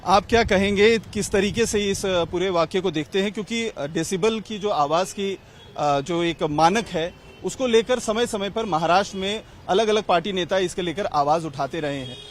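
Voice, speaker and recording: A man reports from the street in an Indian accent, his voice pitched high. He interviews passers-by in a news style, posing questions at length. Faint city traffic sits behind him. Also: moderate pace. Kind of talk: street vox pop interviewing. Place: outdoors on a city street near a mosque.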